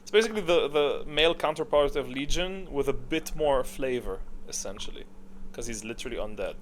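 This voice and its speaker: A man's voice: mid-range voice, expressive, fairly quick, slight background noise. A man giving casual, unscripted ranking commentary, thinking aloud in an opinionated way. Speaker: video host.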